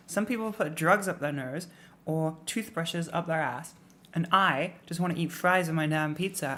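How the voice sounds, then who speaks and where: A woman's voice: lowish voice, fairly bright tone. A young English woman streaming, chatting casually and rambling with lots of fillers. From England. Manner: rambling, lots of likes and ums, playful rants. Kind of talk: casual livestream chat.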